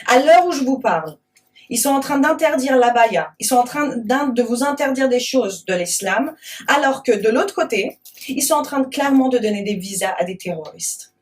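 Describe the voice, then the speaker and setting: A woman's voice: fairly high pitch, animated, normal speed, distant mic. A woman from France, a guest on a talk show, arguing with indignation and often restarting her sentences. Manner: impassioned, indignant, many restarts. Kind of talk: heated talk show discussion.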